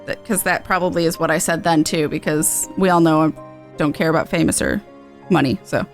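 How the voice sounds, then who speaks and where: A woman's voice: sits low, steady tone, fairly quick. A woman game streamer chatting casually through a playthrough with playful, rambling asides. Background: game music.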